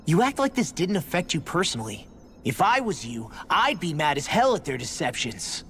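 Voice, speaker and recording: An actress playing a blunt, hot-tempered young adventurer in a video game, direct and challenging. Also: female voice, sits low, big pitch swings, moderate pace, slight background noise. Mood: indignant. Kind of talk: video game cutscene voice acting.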